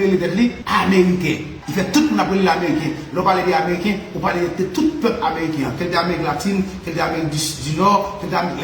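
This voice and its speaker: A Haitian man with a high-pitched voice preaches in a passionate monologue. He drifts between Creole and French, repeats his phrases for emphasis and laughs often. The room is echoey, with faint ambient noise behind him. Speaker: spiritual commentator. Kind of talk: impassioned monologue.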